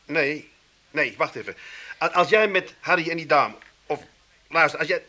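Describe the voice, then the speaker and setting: Flustered middle-aged son, piercing voice. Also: male voice, fairly high pitch, moderate pace, bright, forceful tone. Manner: flustered, repetitive, grumbling. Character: dithering, placating middle-aged son, owner of bike shed. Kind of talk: comic radio play acting.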